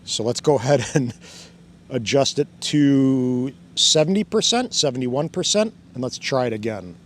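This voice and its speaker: A man's voice: medium pitch, animated, moderate pace, slight background noise. A man hosting a casual hands-on demo outdoors by a fountain, thinking aloud and laughing often as he goes. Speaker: drone channel host. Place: outdoors by a fountain, cold weather.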